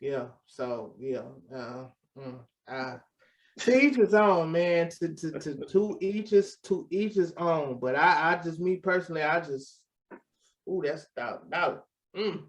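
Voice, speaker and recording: Laid-back high-voiced male co-host. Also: expressive. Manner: laid-back, drawn-out sighs and repeats. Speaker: podcast co-host. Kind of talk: casual chat.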